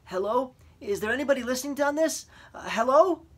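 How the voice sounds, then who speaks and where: A man's voice: extremely high pitch, expressive, fairly quick, pitched above the performer's own voice. A man reading an audiobook, voicing a terrified teenage boy who stammers, pleads and nearly cries over a radio. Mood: panicked. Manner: panicked, stammering, pleading, on the edge of crying. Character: terrified teenage boy alone in a small plane. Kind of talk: audiobook character voice.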